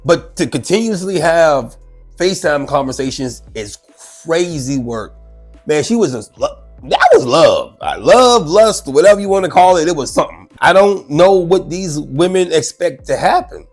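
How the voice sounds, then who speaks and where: A man's voice: fairly high pitch, normal speed, faint music behind. A congested, sick man hosting his own show, chatting loosely and animatedly about a news clip. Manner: chatty, animated, repeats himself. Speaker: show host. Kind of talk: casual commentary on a news clip.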